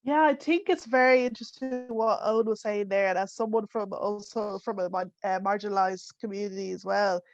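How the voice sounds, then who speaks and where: A woman's voice: medium pitch, fairly even intonation, a little fast, hardly any pauses, no echo, faint music behind. A young woman speaks as a panelist in an online discussion, chatty and direct. Her voice sounds dry and slightly muffled. She sounds like she is on a video call from home. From Ireland. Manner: conversational, with many "you know" fillers. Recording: dull, dry home audio.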